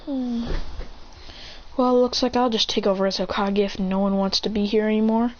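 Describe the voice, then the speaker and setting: A woman voicing a proud, resentful young male ninja hero who rises to high-pitched shouting when upset. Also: steady tone, normal speed, muffled recording, slight background noise, close to an everyday voice. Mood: puzzled.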